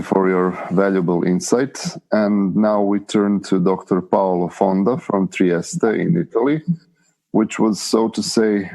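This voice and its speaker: A man's voice: deep voice, creaky, warm tone, poor audio. A man interviewing psychoanalysts, asking questions politely and formally.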